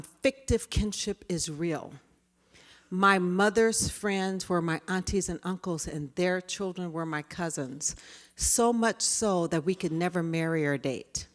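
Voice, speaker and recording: A woman in her fifties with a quiet voice, giving a conversational workshop talk full of personal stories. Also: fairly low pitch. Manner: storytelling, conversational. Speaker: diversity trainer.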